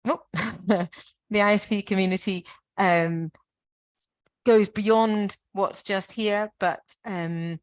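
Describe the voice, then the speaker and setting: A British woman giving a webinar slide presentation for a science park network, explaining at length with frequent pauses and restarts. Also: medium pitch, steady tone.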